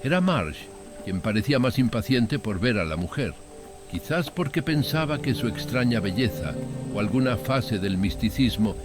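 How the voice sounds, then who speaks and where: A man narrating a literary horror tale in a measured, dramatic audiobook style. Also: fairly low pitch. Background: background music.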